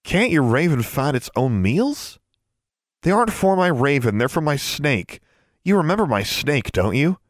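Man putting on a high-pitched, bossy schoolgirl. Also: big pitch swings, moderate pace, dry recording, studio quality. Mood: defiant. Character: bold, scolding, worried schoolgirl with a pet raven.

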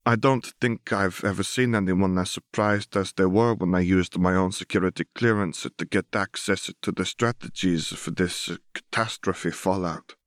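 A man's voice: sits low, steady tone, moderate pace, hardly any pauses, no room sound, clean studio sound, close to an everyday voice. Man voicing a tentative, careful young nobleman. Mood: quietly confiding.

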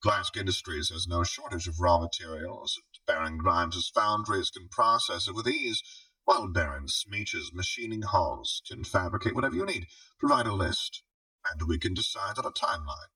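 Man voicing a husky, icily polite woman crime boss. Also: sits low, dry recording, distant mic, exaggerated voice, rough recording. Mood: formal, gracious. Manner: measured, formal, quietly threatening.

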